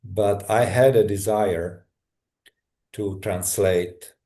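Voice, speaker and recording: A middle-aged man from Czechoslovakia with a Czech accent, a guest telling his story in a halting, thoughtful way with many restarts. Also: fairly low pitch, steady tone, warm tone. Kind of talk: personal story in a conversation.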